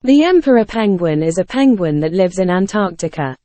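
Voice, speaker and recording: A woman reads scripted practice text in a mellow, mid-pitched voice. Her intonation moves up and down a lot, and the sound is very dry. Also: sing-song, moderate pace, no room sound. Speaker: test-practice passage reader. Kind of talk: scripted read-aloud narration.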